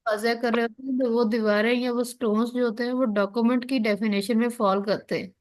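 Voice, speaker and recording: Higher-pitched Pakistani woman teaching. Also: steady tone, a little fast. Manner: checks understanding often, asks and answers own questions. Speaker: law lecturer. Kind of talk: explanatory online lesson, reading out and explaining legal text.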